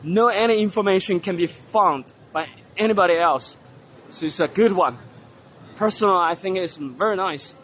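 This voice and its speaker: A hoarse man walking through an indoor trade fair hall, giving casual commentary on the stands with many fillers and pauses. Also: sits high, a bit bright, slight background noise. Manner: unhurried, halting, many fillers. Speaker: vlogger touring a trade fair.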